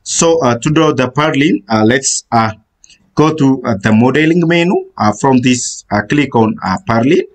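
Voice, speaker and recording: A man narrating a screen-recorded software tutorial, giving step-by-step instructions in a halting way with many "uh" fillers. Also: mid-range voice.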